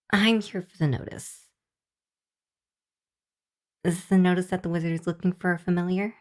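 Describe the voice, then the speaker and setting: A woman's voice: fairly low pitch, darkish tone. A woman playing a nervous, apologetic young hellhound girl in an ASMR roleplay, speaking to the listener with a hesitant, stammering delivery. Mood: polite, tentative.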